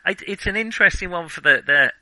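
A man's voice: high-pitched, lively intonation, quick pace, pushed and bright, no room sound, poor audio. A man co-hosting a film podcast in casual discussion, thinking aloud in hesitant, broken phrases.

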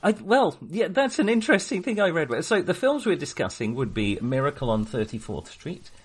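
A man hosting a podcast, talking casually about films in a jokey, wry manner with a husky voice. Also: fairly high pitch, sing-song, moderate pace. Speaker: podcast host. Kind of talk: casual film discussion.

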